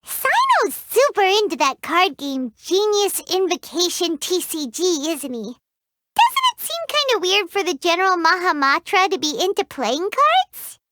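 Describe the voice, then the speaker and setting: A woman's voice: very high-pitched, wildly swooping pitch, measured pace, sharp tone, no room sound, studio quality, full character voice. An actress voicing a tiny, bubbly floating female companion in a video game, who talks about herself in the third person.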